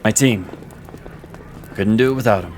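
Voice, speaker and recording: An actor playing a loyal, quick-witted inquisitor in a fantasy audio drama, composed and deferential, with clipped delivery. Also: male voice, on the low side, slight background noise, close to an everyday voice.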